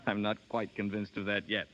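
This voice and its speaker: An actor playing a brisk, gallant young gentleman in a 1930s radio drama, speaking emphatically. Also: lowish voice, little pitch movement, natural voice. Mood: reassuring, amused. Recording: dull lo-fi 1930s radio broadcast.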